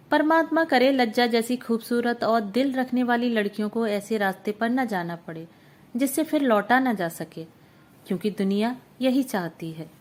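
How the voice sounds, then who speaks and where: Woman reading a literary story aloud. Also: a little high. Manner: steady, measured storytelling. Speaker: audiobook narrator.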